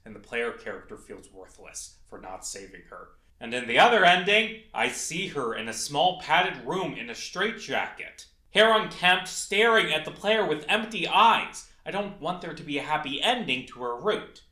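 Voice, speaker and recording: Animated man narrating in an echoey room. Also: on the high side. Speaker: indie game creator presenting a project. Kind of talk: scripted video essay narration.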